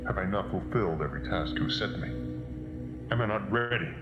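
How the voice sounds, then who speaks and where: Male actor as devoted young villain's apprentice in audio drama: extremely low voice, intense, echoing space. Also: lively intonation, fairly quick, dull audio. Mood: fervent, devoted. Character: brooding, fervent young male dark-side apprentice. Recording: echoing voice over faint music.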